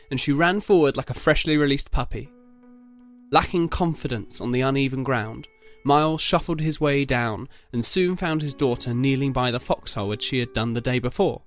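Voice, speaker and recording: Man, narrator, scripted dramatic storytelling, medium pitch, faint music behind.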